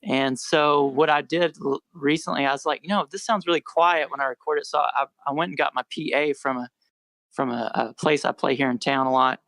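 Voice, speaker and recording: A quiet-voiced young American man and musician, chatting in a relaxed, rambling way as a podcast guest. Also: fairly high pitch, fairly quick, dry recording, poor audio. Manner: rambling, self-correcting, relaxed. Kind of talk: casual podcast interview.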